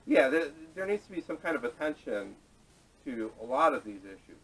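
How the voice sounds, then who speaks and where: A man's voice: fairly high pitch, very animated, moderate pace, slightly rough. A man hosting a casual panel discussion, talking in a hesitant, rambling way, picked up by a camera microphone. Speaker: podcast host. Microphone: distant camera microphone. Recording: distant camera audio, noisy.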